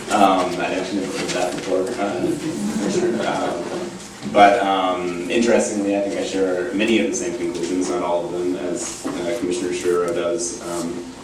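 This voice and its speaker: A man with a cold, his voice a little gravelly, giving a conference panel talk with many hesitant ums. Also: low voice. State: has a cold, slightly gravelly. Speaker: panelist from an advocacy group. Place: university conference room. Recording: echoey hall, distant mic, noisy.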